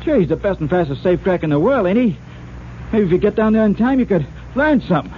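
An actor playing a frightened, defiant small-time crook with slangy, ungrammatical tough talk in a 1940s radio crime drama. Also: male voice, high voice, expressive, quick pace. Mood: boastful. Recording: noisy, dull old radio recording.

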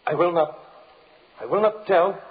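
An actor playing the summoned soul of a sleeping magician, defiant and trance-like in 1940s radio horror style. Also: male voice, high voice. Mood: strained, defiant. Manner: drawn-out, trance-like, halting delivery. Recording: tinny 1940s radio recording.

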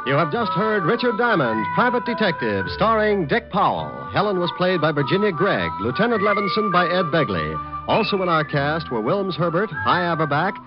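A man reading the closing credits and network promotions as a 1950s radio announcer. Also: high voice. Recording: dull old radio broadcast with music.

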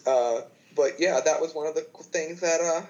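A man's voice: fairly high pitch, normal speed, a little mellow. Young man chatting through muffled audio. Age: 20s. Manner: chatty, lots of fillers and false starts. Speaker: podcast guest. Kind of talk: casual chat.

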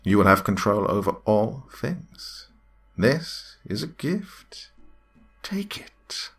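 A man voicing an evil alien demon queen in a horror story, with a raspy, slithering, mock-tender and menacing delivery. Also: lowish voice, very animated, takes it slowly, a bit bright, faint music behind, pitched above the performer's own voice, exaggerated voice. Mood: coaxing, commanding.

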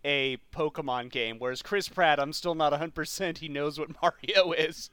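A man with a moderately high voice hosts a casual conversation. He steers the discussion along in an informal, conversational style. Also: normal speed, crowd noise. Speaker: podcast host steering discussion. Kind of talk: casual chat.

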